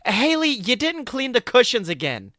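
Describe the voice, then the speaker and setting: A man's voice: extremely high pitch, wildly swooping pitch, moderate pace, bright, forceful tone, no echo, studio quality, voice pushed up, heavily acted. A man voicing a stern, weary young woman in a story, a scolding sister. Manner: scolding, complaining.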